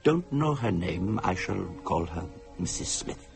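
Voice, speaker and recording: An actor playing a courteous, solemn Indian psychic in a radio drama, with an Indian accent and formal, deliberate delivery. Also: male voice, deep voice, very animated, creaky, over music, exaggerated voice. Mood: grave, solemn.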